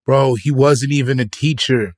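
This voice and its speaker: A man's voice: mid-range voice, steady tone, moderate pace, no room sound, clean studio sound. A man co-hosting a podcast, trading casual comedic banter in a joking, informal way. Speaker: podcast host.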